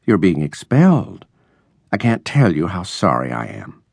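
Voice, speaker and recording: A man voicing a gabby, falsely bright middle-aged landlady in a storybook reading. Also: sits low, wildly swooping pitch, unhurried, creaky, breathy edge, no echo, clean studio sound, heavily acted. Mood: falsely sympathetic. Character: chatty, gushing middle-aged landlady and proud mother.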